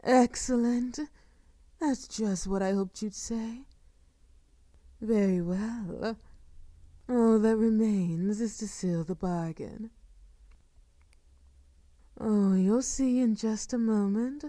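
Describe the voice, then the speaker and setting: A woman performs a sadistic vampire mistress for an intimate audio roleplay. She speaks extremely slowly in a dark, velvety tone, recorded close and dry. She is possessive and commanding yet mock-tender, often sinking into a breathy whisper. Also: medium pitch, dry recording, close to an everyday voice. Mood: commanding, gloating. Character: sadistic, seductive female vampire, possessive and doting.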